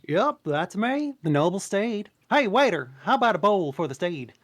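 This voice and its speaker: A performer voicing a brash, chatty, excitable male donkey in a comedic table read, boisterous and sassy. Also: mid-range voice, normal speed. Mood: cheeky. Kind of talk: comedic table read of animated film script.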